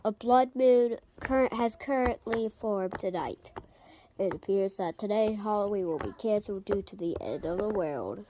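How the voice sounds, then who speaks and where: A woman playing a solemn emergency alert announcer in an amateur doomsday broadcast, halting and stumbling over the script. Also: mid-range voice, lively intonation, background noise, natural voice. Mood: grave announcing.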